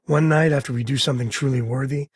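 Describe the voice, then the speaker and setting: A heavily husky, gravelly spacefarer played by a male actor from a video game, with a medium-pitched voice. He is an easygoing, loyal father and explorer who talks in a wry, folksy, conversational way. The sound is dry, with no room around it. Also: steady tone, fast talker, dry recording, close to an everyday voice. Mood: earnest. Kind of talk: video game character dialogue.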